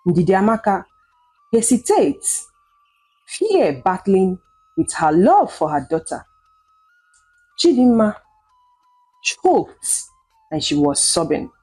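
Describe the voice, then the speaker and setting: Casting: female folktale narrator, dramatic scripted read, widely moving pitch, relaxed dark tone. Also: slow pace, long pauses, slightly rough, faint music behind, low-quality recording. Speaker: storyteller.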